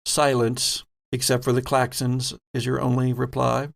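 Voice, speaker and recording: A man narrating a tabletop horror role-playing game as game master, setting scenes deliberately with pauses mid-phrase. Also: medium pitch, completely flat delivery, vocal fry.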